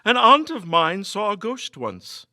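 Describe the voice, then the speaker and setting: A man voicing an eager, excitable gentleman ghost-believer with emphatic speech in a storybook reading. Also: sits high, unhurried, no room sound, clean studio sound, pitched above the performer's own voice, exaggerated voice.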